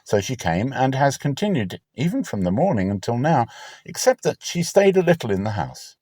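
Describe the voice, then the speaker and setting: A man giving a dramatic scripture reading in a solemn, theatrical, deliberately phrased manner. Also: mid-range voice, big pitch swings, a little mellow, breathy edge, dry recording, studio quality. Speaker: Bible reader, channel host.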